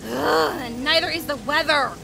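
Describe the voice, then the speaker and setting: A woman's voice: high-pitched, normal speed, creaky. A woman playing an anxious, grumbling young woman in an amateur radio play, on a noisy recording. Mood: exasperated.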